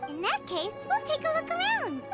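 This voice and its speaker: A tiny game fairy with an extremely high, squeaky, childlike voice. She is excitable and inquisitive, her pitch leaping about, with music clearly playing underneath. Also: normal speed, exaggerated voice. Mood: eager. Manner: exclamatory, inquisitive. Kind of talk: video game voice acting.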